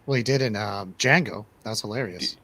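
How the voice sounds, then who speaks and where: A man's voice: lowish voice, a little fast, sharp tone. A man hosting a radio show, chatting casually about movies in a colloquial, jokey, agreeable way. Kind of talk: casual movie review chat.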